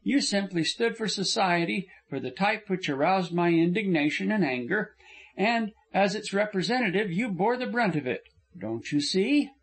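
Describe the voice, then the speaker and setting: A man's voice: high-pitched, expressive, normal speed, pitched above the performer's own voice. A man voicing a spirited, frank young woman who speaks earnestly and with passion. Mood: animated. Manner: earnest, impassioned.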